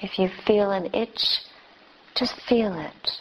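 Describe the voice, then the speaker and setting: A woman teacher leading a guided meditation with gentle instructions in short, spaced phrases. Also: medium pitch, slow pace, slight background noise. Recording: dull, lo-fi recording.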